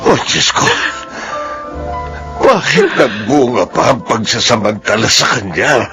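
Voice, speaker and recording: A man playing an elderly, worried, gently scolding grandfather in a Filipino radio drama. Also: medium pitch, lively intonation, a little slow, music in the background. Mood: worried, distressed.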